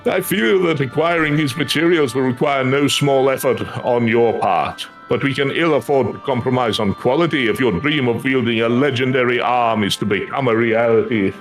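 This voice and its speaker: A man's voice: mid-range voice, moderate pace, hardly any pauses, close to an everyday voice. A man voicing a courtly, earnest fantasy craftsman, devout and grateful, speaking quest dialogue in formal, flowery phrasing. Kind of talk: voiced reading of fantasy game quest dialogue. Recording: music behind the voice.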